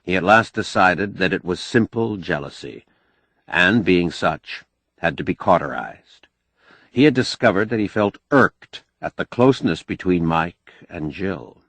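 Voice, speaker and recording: Slow, expressive male audiobook narrator. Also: deep voice, dry recording. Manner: expressive, measured storytelling. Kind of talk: scripted fiction narration.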